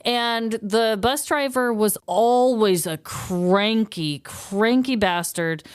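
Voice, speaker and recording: A woman's voice: a little high, slow, clear, resonant tone, no room sound. A woman co-hosting a podcast, chatting casually and chiming in with conversational commentary. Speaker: podcast co-host. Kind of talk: casual chat.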